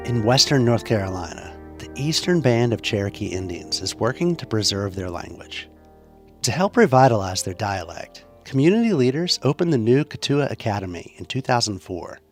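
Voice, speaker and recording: A man hosting a public radio show, reading scripted narration in a measured, announcing way. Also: on the low side, sing-song. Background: music.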